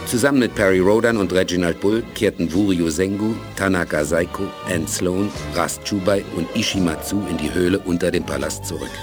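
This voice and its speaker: An actor as the serious storyteller of a space adventure radio drama, reading scripted narration. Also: male voice, low-pitched, a little fast, hardly any pauses, lightly acted. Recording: clear music behind voice.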